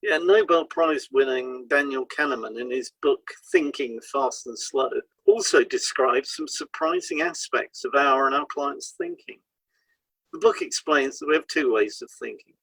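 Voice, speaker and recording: A man co-hosting an educational podcast, reading scripted explanations in a measured, explanatory way. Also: mid-range voice, expressive, a bit bright, dry recording, rough recording. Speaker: podcast co-host and hypnotherapist.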